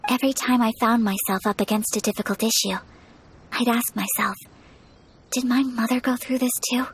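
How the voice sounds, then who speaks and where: A young woman voicing a gentle, composed and earnest noblewoman with a soft, polite, measured manner in a video game. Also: a little high, steady tone, slightly rough.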